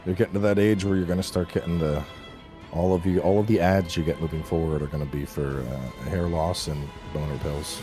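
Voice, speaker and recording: A man's voice: sits low, fairly even intonation, fairly quick, over music. A man in his thirties chatting casually as a gaming streamer, blunt and sweary, given to ribbing. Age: 30s. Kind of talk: casual chat, banter.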